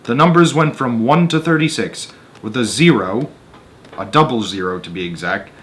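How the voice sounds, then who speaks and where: A man's voice: medium pitch, expressive, normal speed, a bit bright. A man reading a children's story aloud as narrator, stepping out for casual, conversational comments on the text. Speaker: narrator and host of a story-time show.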